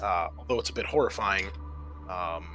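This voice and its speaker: A man with a fairly low, forceful voice acts as game master of a tabletop roleplaying game. He explains rules and describes scenes, often pausing mid-sentence before carrying on. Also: soft background music.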